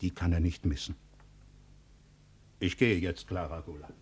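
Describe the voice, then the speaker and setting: An earnest, loyal country friend. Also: male voice, deep voice, fairly even intonation, unhurried, slightly rough, heavily acted. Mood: sad reproach. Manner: earnest, grave, reproachful. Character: loyal, plain-spoken country man defending his friend. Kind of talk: 1950s German radio play acting.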